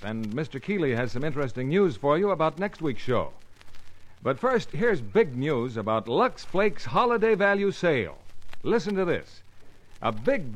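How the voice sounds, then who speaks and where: An American man working as a commercial announcer, delivering a 1950s radio advertising pitch.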